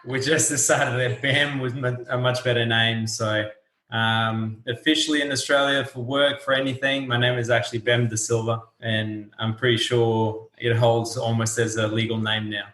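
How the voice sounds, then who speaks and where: A man's voice: mid-range voice, flat delivery, moderate pace, fairly bright tone. A man in his thirties from Portugal, a native Portuguese speaker, chatting easily as an interview guest. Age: 30s. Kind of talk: casual interview chat. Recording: roomy echo.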